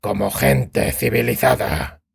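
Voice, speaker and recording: A man voicing a cold, controlled witcher in a fantasy audiobook, speaking in a measured, deliberate way. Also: mid-range voice, steady tone, creaky, husky, exaggerated voice. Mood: restrained. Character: cold, controlled male witcher bargaining for time. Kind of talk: dramatized fantasy audiobook dialogue.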